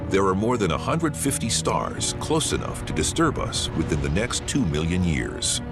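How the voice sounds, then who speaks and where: A man narrating a scripted science documentary in a dramatic, deliberate way with pointed pauses. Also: sits low, sing-song. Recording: loud music bed under narration. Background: music.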